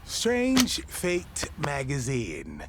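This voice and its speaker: An actor playing a genial, eccentric older radio station boss in an audio drama, speaking in a courtly, halting, wordy way. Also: male voice, mid-range voice, wildly swooping pitch, slight background noise, exaggerated voice. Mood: solemn, courteous.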